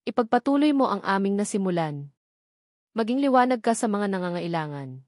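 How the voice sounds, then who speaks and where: A woman voicing a frail, loving old grandfather on his deathbed, gentle and reassuring. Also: medium pitch, sing-song, brisk, no echo, clean studio sound, close to an everyday voice. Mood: peaceful, loving.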